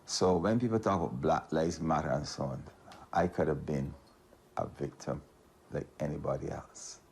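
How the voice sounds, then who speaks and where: A man from Barbados with a Barbadian accent, calmly recounting a personal experience in an interview. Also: extremely low pitch, moderate pace, creaky, slight background noise.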